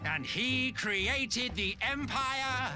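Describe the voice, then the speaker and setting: Theatrical drawling ancient villain, swooping pitch. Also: male voice, lively intonation, very slow, exaggerated voice. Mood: smug, theatrical. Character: ancient immortal sorcerer schemer, theatrical, condescending, world-weary villain. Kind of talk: video game villain acting. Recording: orchestral game music behind.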